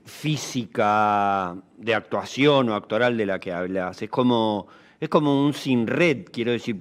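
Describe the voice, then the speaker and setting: Argentine man interviewing, mid-pitched and tentative. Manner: tentative, groping phrasing with repetitions and tag questions. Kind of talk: conversational interview questioning.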